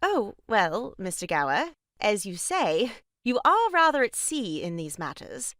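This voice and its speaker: A woman's voice: mid-range voice, very animated, measured pace, fairly bright tone, no room sound, clean studio sound. An actress playing a composed married lady in a comic farce, prim, formal and dryly polite. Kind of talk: comic stage farce acting.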